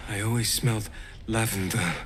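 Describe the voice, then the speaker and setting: An actor playing a haunted, frail man in a fantasy game, with a halting, plaintive and anxious delivery. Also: on the low side, steady tone, noisy background, lightly acted. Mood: searching, wistful. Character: haunted, frail man worried for lost friend. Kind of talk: dramatic fantasy game acting.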